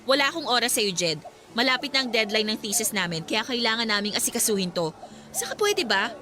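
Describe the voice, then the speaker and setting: Radio drama role: young student, high forceful voice, firm and weary of her ex, faint music bed. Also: sing-song, fairly quick. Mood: firm, exasperated. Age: young adult. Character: young female college student, fed up with controlling ex. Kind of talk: Tagalog radio drama acting.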